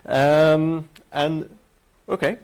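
A man's voice: on the high side, fairly even intonation, very slow, darkish tone. A middle-aged man whose native language is Dutch, speaking English with a Dutch accent as he presents a technical conference talk, hesitant and full of filler sounds and restarts.

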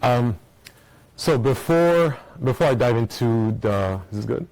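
A man's voice: medium pitch, lively intonation, a little slow, darkish tone, rough recording. A man from Belgium giving a casual technical conference talk to a room, often checking in with "right?" between points. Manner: casual, explaining, frequent "right?" and "uh" fillers. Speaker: conference speaker. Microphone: small recording mic.

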